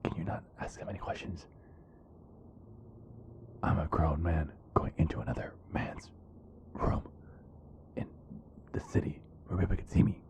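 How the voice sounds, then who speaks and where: A man playing an ardent, nervous werewolf boyfriend in an ASMR roleplay, halting and repeating himself as he pleads. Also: a bass voice, vocal fry, darkish tone. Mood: urgent pleading.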